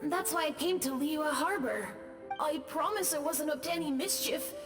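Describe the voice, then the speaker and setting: A woman's voice: high voice, moderate pace, music in the background, close to an everyday voice. Woman voicing a shy lost ghost boy. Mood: timid. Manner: shy, apologetic. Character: timid lost boy ghost, easily scared. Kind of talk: game voice acting.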